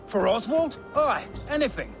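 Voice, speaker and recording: An actor playing an eager, rough Saxon commoner in a video game, rustic and excitable. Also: male voice, very high-pitched, normal speed, over music, full character voice. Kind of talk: video game dramatic acting.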